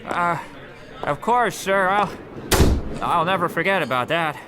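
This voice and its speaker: A man performs a meek old clerk in a high, slow voice, hesitant and long-winded with earnest naivety. It is radio comedy acting, set against clearly audible street and traffic noise. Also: lively intonation. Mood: timid, hopeful. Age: older. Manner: hesitant, stammering, wordy and earnest. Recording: noisy outdoor street ambience with traffic.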